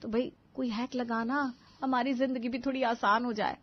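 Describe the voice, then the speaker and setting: Casting: woman playing put-upon elder daughter-in-law, fairly high voice, wry and reproachful, cartoon drama, muffled sound. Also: fairly even intonation, normal speed, natural voice. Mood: playful pleading. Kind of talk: animated family story acting.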